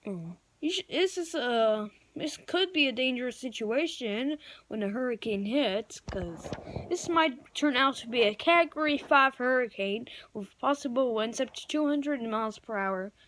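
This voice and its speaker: A woman improvising mock emergency news and weather bulletins in a halting, stumbling amateur announcer delivery. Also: on the high side, animated, a bit bright, slight background noise, poor audio. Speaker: amateur video creator playing broadcast announcer.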